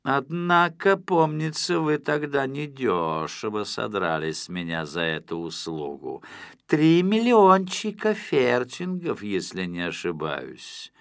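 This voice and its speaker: A man's voice: medium pitch, big pitch swings, slow, creaky, clean tone, dry recording, studio quality, full character voice. A man voicing a pompous, hot-tempered rich boss in an audiobook, indignant and given to spluttering rants. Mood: haughty, suspicious. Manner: ranting, spluttering, indignant shouting. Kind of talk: audiobook character acting.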